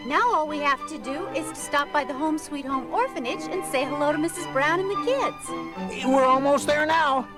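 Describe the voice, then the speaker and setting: Food-loving lanky teen, scratchy and high, very animated, 1970s cartoon style. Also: male voice, normal speed, over loud music. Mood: cheerful. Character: easygoing, food-loving lanky teenage boy. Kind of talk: 1970s cartoon audio drama acting.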